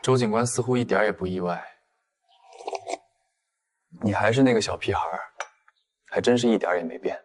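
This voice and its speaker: An actor playing cool, restrained, clever young men in a detective drama for an animated comic. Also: male voice, on the low side, brisk, long pauses, slight background noise, natural voice. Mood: calm, probing.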